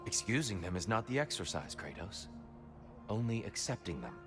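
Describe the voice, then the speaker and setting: Wise game guide: composed, measured, gently low voice, formal questioning delivery over score. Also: male voice, very animated. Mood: solemn, probing. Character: calm, wise, probing guide and judge. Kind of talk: cinematic video game acting. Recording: music under the voice.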